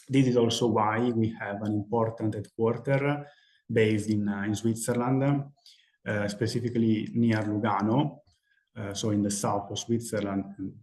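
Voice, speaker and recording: An Italian-accented man walks through a webinar presentation in a fairly low voice. He pauses often with fillers and restarts his phrases. The sound has a roomy echo. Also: a little mellow. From Italy. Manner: hesitant, many fillers and restarts. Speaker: talent acquisition recruiter presenting.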